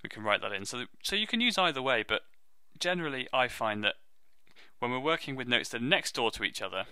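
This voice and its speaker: A man with a low, deep voice teaching music theory in an online lesson, explaining step by step in a friendly, self-deprecating way. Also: sing-song, a little fast, pushed and bright, clean tone, no room sound.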